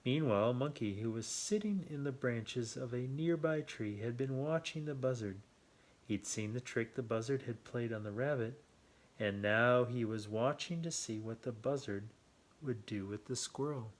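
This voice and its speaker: A man reading a children's story aloud with playful, drawn-out emphasis and chatty asides. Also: mid-range voice. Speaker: children's story reader and host.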